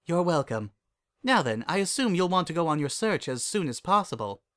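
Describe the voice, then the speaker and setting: A man voicing a calm, kindly female pony princess and mentor in a storybook reading, speaking in a gentle, measured, regal way. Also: on the high side, pitched above the performer's own voice. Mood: calm, reassuring. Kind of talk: storybook character dialogue.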